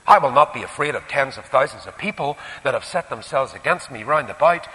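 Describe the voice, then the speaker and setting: A man with a Northern Irish accent preaching a sermon, putting rhetorical questions and moving between emphatic rises and quieter asides. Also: mid-range voice, lively intonation, brisk. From Northern Ireland. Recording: echoey hall with long reverb.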